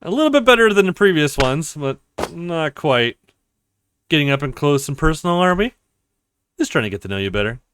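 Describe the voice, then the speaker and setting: A cocky young male fighter from a visual novel, read out by a man. He taunts with swagger and his pitch jumps around in an animated way. The sound is dry and close. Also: a little fast, clean tone, dry recording. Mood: cocky teasing.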